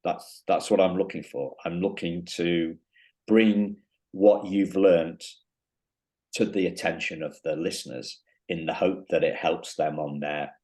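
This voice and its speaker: A man hosting an interview podcast, talking in a halting way with many restarts and fillers. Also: low voice, fairly even intonation, a little mellow. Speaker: podcast host. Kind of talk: casual interview chat.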